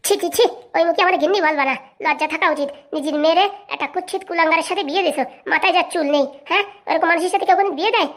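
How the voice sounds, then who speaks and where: A woman voicing a furious, domineering wife in a comic cartoon, scolding and threatening. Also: extremely high pitch. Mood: indignant. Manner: scolding, threatening, rapid-fire. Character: furious bossy wife, domineering mistress of the house.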